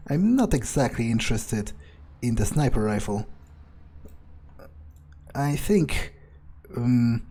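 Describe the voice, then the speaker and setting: A man playing a wary, ruthless vampire on the hunt, thinking aloud in halting, hesitant phrases over a video game. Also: mid-range voice, warm tone, breathy edge. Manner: musing, hesitant, halting self-corrections. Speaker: let's player role-playing a game character. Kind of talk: in-character improvised gameplay narration, thinking aloud.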